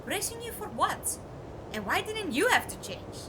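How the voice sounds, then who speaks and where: Lady acting an excitable curious young woman space traveller, high and super swoopy, background noise. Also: normal speed, full character voice. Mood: grumbling. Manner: animated, inquisitive, frank. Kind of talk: audio drama acting.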